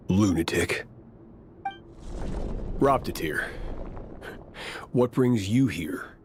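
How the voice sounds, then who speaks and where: An actor playing a proud, stern male knight in a video game, curt and deadpan. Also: lowish voice, very slow, long pauses, background noise. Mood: weary disdain.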